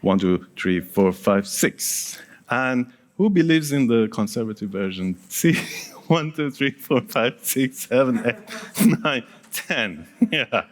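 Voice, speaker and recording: A man's voice: mid-range voice, lively intonation, slow. A man whose first language is Persian, giving a conference talk in a casual, engaging way and polling the audience with questions. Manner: engaging, quizzes the audience and counts hands; casual, with small laughs and asides. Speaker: conference speaker. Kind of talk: conference presentation.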